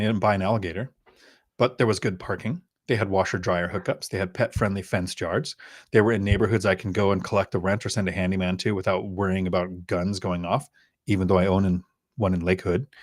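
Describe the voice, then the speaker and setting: Thailand-born man, low-ish voice, blunt teacher energy, podcast guest. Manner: emphatic, instructive, blunt. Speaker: guest real estate investor. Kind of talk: casual podcast advice.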